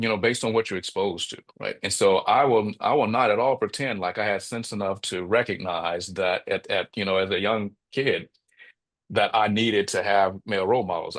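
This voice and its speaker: A middle-aged man with a bass voice, speaking reflectively as an interview guest, often checking in with "right?" and restarting his phrases. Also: fairly bright tone. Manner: thoughtful, frequent "right?" tags and restarts. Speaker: podcast guest.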